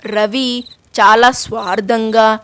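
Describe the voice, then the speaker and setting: A woman's voice: a little high, fairly even intonation, takes it slowly, a bit bright, smooth. A woman narrating a scripted moral story for children. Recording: music under the voice. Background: music.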